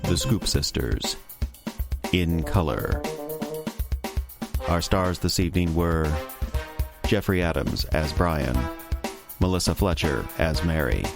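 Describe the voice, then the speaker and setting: A man's voice: rumbling low, slow, gravelly, long pauses. A man announcing the scripted closing credits of a radio drama. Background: music with guitar and drums.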